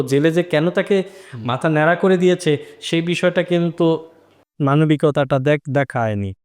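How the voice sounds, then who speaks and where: A man's voice: on the high side, a little mellow. A man speaking as a panelist in a literary discussion, explaining his points and illustrating them with quotes. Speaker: discussion panelist and audio drama maker.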